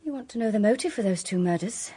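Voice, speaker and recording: A woman acting in a radio drama as a pushy, self-assured amateur sleuth with a brisk, forthright manner. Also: medium pitch, lively intonation, dark tone, slightly rough. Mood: brisk, eager.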